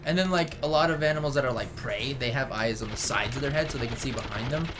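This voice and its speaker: A man's voice: mid-range voice, fast talker, noisy background. A man co-hosting a gaming show, chatting casually in a goofy, giggly way and wandering off on tangents. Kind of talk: casual let's-play banter. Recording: game music behind.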